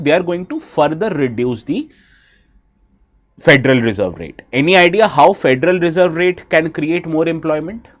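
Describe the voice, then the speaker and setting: Indian man teaching with a cold. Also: fairly high pitch. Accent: Indian English. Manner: asks students questions, switches briefly into Hindi. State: has a bad cold. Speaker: exam prep teacher. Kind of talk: live online lecture.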